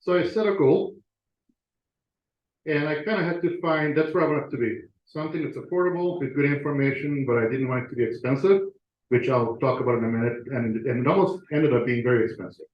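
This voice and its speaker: A man's voice: mid-range voice, brisk. A man giving a casual talk to his club over slides, conversational, with fillers and restarts. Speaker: club presenter. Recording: roomy, dull lo-fi sound.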